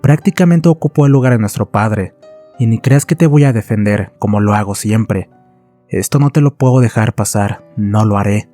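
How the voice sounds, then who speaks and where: A man's voice: fairly low pitch, normal speed, dark tone, faint music behind, close to an everyday voice. A man voicing an angry older brother in a horror story, stern and accusing. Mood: angry scolding.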